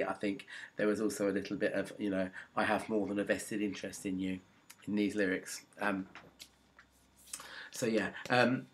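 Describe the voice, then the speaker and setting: A fairly low-voiced man chats about music in a relaxed, rambling way. He hesitates often and restarts his sentences. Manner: chatty, hesitant, many ums and restarts. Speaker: music video blogger. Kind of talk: casual rambling talk to camera.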